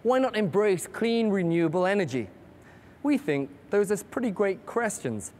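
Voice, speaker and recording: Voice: medium pitch, lively intonation, moderate pace, a little mellow. A host giving scripted, upbeat narration that lists upcoming segments of a broadcast. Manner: upbeat promotional delivery, listing upcoming segments. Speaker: host of a live-streamed broadcast.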